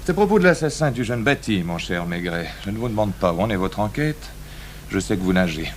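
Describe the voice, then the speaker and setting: An actor playing a falsely genial, caustic newspaper editor in a 1980s French radio drama. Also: male voice, on the low side, lively intonation, fairly quick, natural voice. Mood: mocking. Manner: mock-friendly, sardonic. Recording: noisy old radio broadcast recording.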